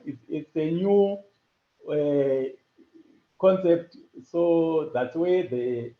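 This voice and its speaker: A man presenting a farming project and answering questions on a call, pausing often with fillers and restarts. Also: a little high. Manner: halting, with many fillers and restarts. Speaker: hackathon presenter. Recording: echoey lo-fi call audio.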